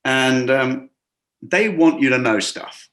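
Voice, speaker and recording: A man's voice: mid-range voice, slow pace, fairly bright tone. Man telling work stories with restarts. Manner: rambling, many restarts, acts out quoted dialogue. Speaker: guest, hospitality business coach. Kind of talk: conversational interview storytelling. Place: lives and works in a Gulf city.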